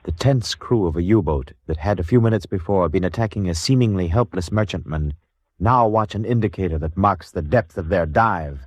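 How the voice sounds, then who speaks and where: A man's voice: deep voice, moderate pace, darkish tone, no room sound. A man narrating a radio drama in a terse, detached, sober manner. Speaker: narrator and interpreter. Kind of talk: scripted present-tense radio drama narration. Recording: noisy, with clicks.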